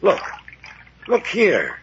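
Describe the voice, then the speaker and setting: Casting: urgent doctor, very animated, bright voice, earnest, old radio serial sound. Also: male voice, sits high, extremely slow, vocal fry, full character voice. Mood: concerned. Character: excitable, concerned male doctor. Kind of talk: 1940s radio acting. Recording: dull, slightly noisy 1940s radio recording.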